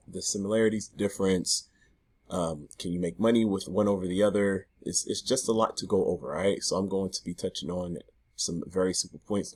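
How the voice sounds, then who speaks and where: A man in his thirties speaks in a very low, hoarse voice, chatty and loose, often restarting his sentences. He talks straight to the camera from his home garage. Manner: chatty, loose, self-interrupting. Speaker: affiliate marketing vlogger. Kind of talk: casual talk to camera.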